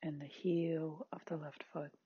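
A meditation guide with a husky, breathy, androgynous voice, giving gentle, unhurried body scan instructions in short spaced phrases. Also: mid-range voice, level tone, no echo, lo-fi sound. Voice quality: very husky, breathy, at times near-whispered.

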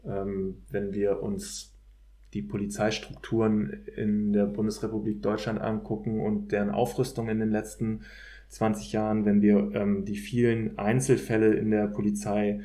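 Male, lowish pitch, soft dark tone, analytical talk, halting search for words. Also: level tone. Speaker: guest, political scientist. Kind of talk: analytical discussion.

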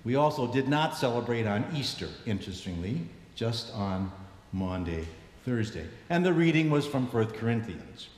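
Older man preaching in an echoey church. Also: expressive. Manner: measured, reflective, dropping low at phrase ends. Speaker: bishop preaching. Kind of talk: sermon. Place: large church sanctuary, standing before the altar. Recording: echoey church with long reverb.

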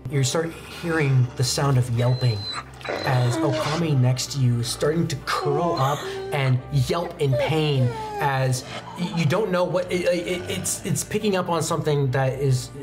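A young man narrating a horror tabletop roleplaying game as game master, with deliberate, suspenseful descriptions and dramatic pauses. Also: fairly high pitch. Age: young adult. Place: indoor studio game set at a desk. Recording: music playing behind the voice. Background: background music.

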